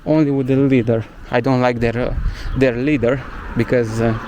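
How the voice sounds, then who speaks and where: A man's voice: medium pitch, flat delivery, moderate pace, highs cut off, noisy background. A young man with a Romanian accent whose first language is Romanian, chatting casually and hesitantly as he walks through a village and searches for English words. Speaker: travel vlogger. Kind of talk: casual walking vlog narration. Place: outdoors in a village.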